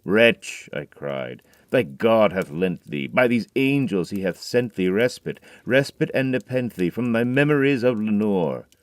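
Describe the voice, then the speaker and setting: A man voice acting a grieving, haunted man in an audio drama, reading dramatic verse that swings from hushed brooding to loud, pleading outbursts. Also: on the low side, expressive, measured pace, few pauses, no room sound. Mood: anguished.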